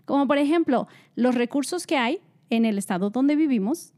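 A woman's voice: high voice, lively intonation, moderate pace, dark tone. A woman co-hosting a panel talk, speaking in an upbeat, encouraging way. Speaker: co-host.

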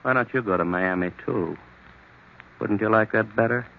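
Unhinged jealous husband, old muffled radio. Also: male voice, fairly low pitch, normal speed, gravelly, a little hiss or hum. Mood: mocking suspicion. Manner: mocking, falsely calm, chuckling menace. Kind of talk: old-time radio thriller acting. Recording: muffled vintage radio recording.

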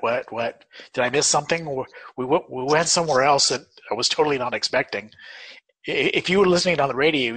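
A man's voice: medium pitch, fairly bright tone, breathy edge, rough recording. A man on a podcast panel, chatting casually and a little hesitantly as he searches for words.

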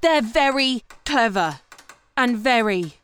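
A woman's voice: a little high, lively intonation, slow pace, a bit bright, smooth. A British actress playing an earnest, bossy woman writer turned amateur detective, precise and exasperated, in a comedy audio drama. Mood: earnest, pedantic.

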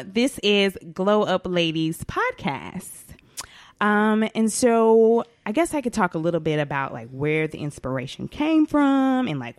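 A chatty young woman from Atlanta hosts a casual podcast, her voice sitting a little low with lively swings in pitch. She speaks close to the mic in a dry, dead room, filling her talk with easy fillers. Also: dry recording. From Atlanta, Georgia, US. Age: 20s. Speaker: podcast host.

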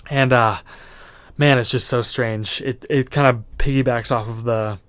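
A man's voice: medium pitch, fairly even intonation, measured pace, fairly bright tone, no room sound. A man in his twenties hosting a solo podcast, giving earnest motivational advice in a loose, rambling way with lots of fillers. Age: 20s. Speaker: podcast host.

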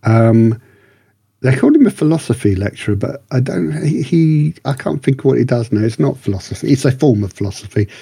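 A man with a relaxed, husky voice chats through a review on a podcast, recorded dry and close. He rambles enthusiastically, often correcting himself as he goes. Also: medium pitch, fairly quick, dry recording. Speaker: podcast co-host reviewing books and radio dramas. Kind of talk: casual review chat.

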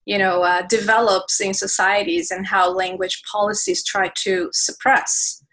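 A woman's voice: mid-range voice, flat delivery, measured pace, a bit bright. A woman guest speaking passionately in a conversational interview, often restarting her phrases, with Portuguese as one of her first languages. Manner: passionate, many restarts and fillers.

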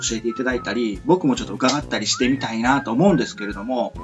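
Guy from kyushu doing a chatty radio host thing, polite n rambly, dull lo-fi sound, music under it. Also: medium pitch. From Kyushu, southern Japan. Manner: polite, wordy, halting build-up to questions. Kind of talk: casual chat interview. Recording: muffled lo-fi audio with music behind. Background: background music.

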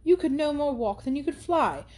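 A woman's voice: sits high, expressive, normal speed, mellow, pitched above the performer's own voice. Woman doing a blustering, boastful young man. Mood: insistent. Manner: blustering, insistent. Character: brash, boastful young man, pushy and loud.

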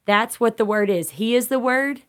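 A woman with a congested, slightly raspy voice, teaching a Bible study in an earnest, preacherly way and restating her points as she goes. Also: on the high side, moderate pace. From Texas.

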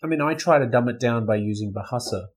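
A man talking in a casual, relaxed way as a guest on a podcast debate. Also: medium pitch, quick pace.

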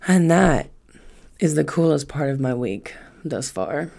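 A woman's voice: low voice, lively intonation, a little slow, vocal fry, warm tone. A woman in her twenties chatting casually on a solo podcast, rambling and swearing freely with emphatic repetition. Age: 20s. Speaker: podcast host.

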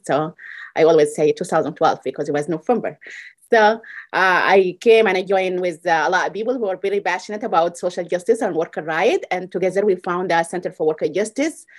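Low-voiced woman from Sudan, halting. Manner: halting, with many fillers and restarts. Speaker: executive director of a worker center. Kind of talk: podcast guest conversation.

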